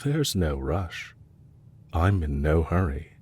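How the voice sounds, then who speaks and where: A man playing a courteous, calculating villain leader in an intimate audio roleplay, speaking politely and with measured, softly teasing delivery. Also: extremely low pitch, sing-song, breathy edge, full character voice. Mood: gently curious. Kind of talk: intimate scripted audio roleplay addressed to the listener.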